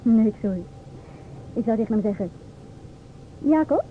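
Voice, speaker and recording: Sharp-tongued, high-voiced outspoken woman, old radio. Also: animated, measured pace. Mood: feisty, scornful. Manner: assertive, mocking, theatrical. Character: outspoken, sharp-tongued divorced woman lecturing two inspectors. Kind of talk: Dutch radio play acting. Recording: old radio, thin phone-like sound, noisy.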